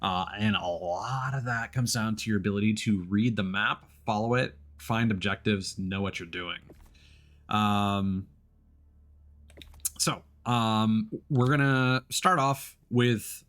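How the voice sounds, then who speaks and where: A man giving casual let's play commentary as a game streamer, talking in halting phrases with frequent pauses. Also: on the low side, fairly bright tone.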